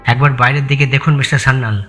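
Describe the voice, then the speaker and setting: A man playing a calm, practical police sergeant in an audio thriller, speaking in a measured, placating way. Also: mid-range voice, faint music behind, natural voice. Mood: practical, firm. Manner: measured, placating, matter-of-fact. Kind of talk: Bengali audio thriller acting.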